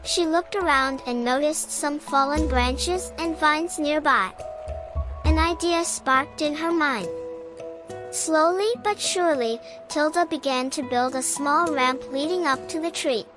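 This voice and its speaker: A woman narrates a bedtime story in a high voice. She takes her time over the scripted lines, with music clearly playing behind her. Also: measured pace.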